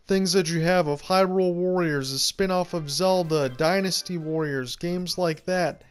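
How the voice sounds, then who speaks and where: Man, high-pitched voice, loose rambling gameplay commentary, faint music behind. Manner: rambling, conversational explaining. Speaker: game video creator. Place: home room, indoors.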